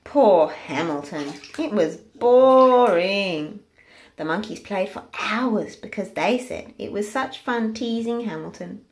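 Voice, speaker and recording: A woman's voice: mid-range voice, lively intonation, slow pace. A woman narrating a picture book aloud for children in a storytelling style.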